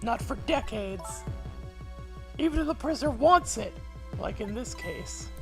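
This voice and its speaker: A woman voicing a brash, excitable, defensive female detective in a mystery game. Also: on the high side, unhurried, soft background music. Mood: urgent. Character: brash, headstrong young female detective, highly strung.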